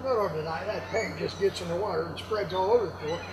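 A man's voice: high-pitched, fast talker. Older man telling stories over background noise. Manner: folksy, salty, laughs while telling stories. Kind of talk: rambling casual anecdote telling. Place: indoors in a warehouse with block walls. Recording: clearly noisy warehouse room ambience.

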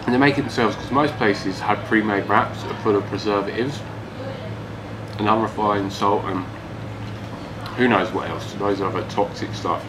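A man's voice: lowish voice, moderate pace, pauses often. A young man with an English accent giving a casual food review while eating at a table. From England. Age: young adult. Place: small room, seated at wooden table. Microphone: camera across the table. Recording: noisy ambient room recording.

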